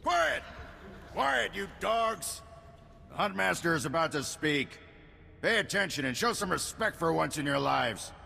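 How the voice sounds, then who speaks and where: A man's voice: on the high side, very animated, a little slow, creaky, sharp tone, full character voice. A man playing a harsh, contemptuous announcer of a bounty hunt, barking orders in a video game. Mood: commanding. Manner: barking, scornful, commanding. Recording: long hall reverb, music behind.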